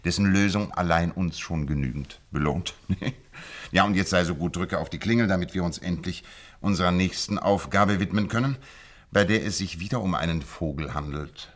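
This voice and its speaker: An actor playing a shrewd, ironic detective in a 1960s radio play, speaking in a measured way. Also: male voice, low-pitched. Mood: wry. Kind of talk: 1960s radio drama acting. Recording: old radio drama archive recording.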